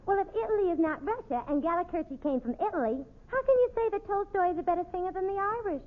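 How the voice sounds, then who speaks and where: Indignant, daffy New daughter of a tavern owner, high-voiced and quarrelsome, tinny vintage radio comedy sound. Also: female voice, lively intonation, quick pace, noisy background. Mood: argumentative. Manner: argumentative, scatterbrained, indignant. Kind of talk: 1940s radio comedy acting. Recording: tinny old radio recording.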